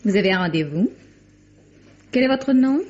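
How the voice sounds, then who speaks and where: An actress playing a haughty, tart secretary in a French radio mystery, cool and dismissive in manner. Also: female voice, mid-range voice, animated, moderate pace, darkish tone, natural voice. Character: haughty, tart female secretary guarding the boss. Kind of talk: French detective radio drama acting. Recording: dull, band-limited vintage radio recording.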